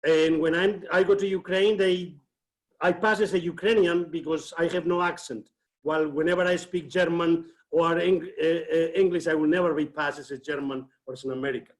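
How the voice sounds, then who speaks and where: A man from Spain speaks at a fairly high pitch over a video call. He builds his argument slowly, with frequent hesitations and self-corrections. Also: fairly even intonation. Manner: thoughtful, with many hesitations and restarts. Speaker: seminar participant asking a question.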